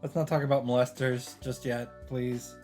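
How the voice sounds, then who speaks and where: A man's voice: mid-range voice, fairly even intonation, normal speed, a little mellow, faint music behind. A man giving casual commentary on a game playthrough, with wry, self-interrupting asides.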